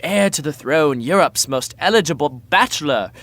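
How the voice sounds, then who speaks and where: A man's voice: fairly high pitch, lively intonation, normal speed, a bit bright. A man playing a cocky, flippant young prince in a romantic comedy audio drama, talking casually and stumbling when put on the spot. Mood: teasing, cocky. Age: young adult.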